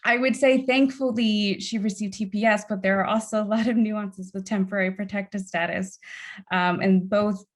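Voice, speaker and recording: A woman's voice: mid-range voice, fairly even intonation, moderate pace. A young woman whose first language is Spanish, speaking earnestly as a panelist at a community event. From United States. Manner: earnest, with frequent "um" and "uh". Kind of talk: panel talk.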